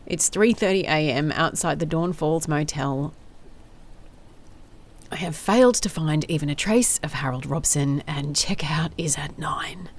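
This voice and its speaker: A woman's voice: sits low, a little hiss or hum. A woman playing a weary, sardonic female private investigator, speaking in a dry, confessional audio diary style for an audio drama. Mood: bitter.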